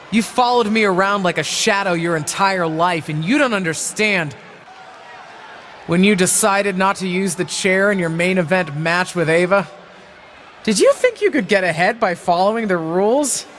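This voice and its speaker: Sharp, bitter wrestling legend. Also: female voice, lowish voice, lively intonation, normal speed, a little hiss or hum. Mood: condescending, proud. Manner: scornful, taunting, condescending. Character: bitter, scheming older female wrestling legend and aunt. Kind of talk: dramatic wrestling promo acting.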